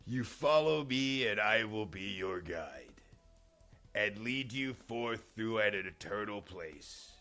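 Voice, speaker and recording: A man's voice: on the low side, expressive, slow, sharp tone, soft background music, full character voice. A man voicing the ghost of an ancient poet, a grave prophetic guide, declaiming solemnly in an epic poetry reading. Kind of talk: dramatic storybook poetry reading.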